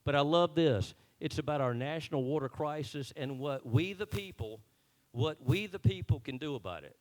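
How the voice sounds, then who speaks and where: A man's voice: a little high, normal speed, a little mellow. A man introducing a guest at a town hall, earnest and a bit unpolished on stage. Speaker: event organizer introducing the speaker. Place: meeting hall.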